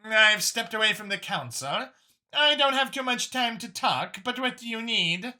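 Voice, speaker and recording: A man voicing a powerful, busy female alien leader with a brisk, authoritative manner. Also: high voice, animated, bright, forceful tone, pitched above the performer's own voice, heavily acted.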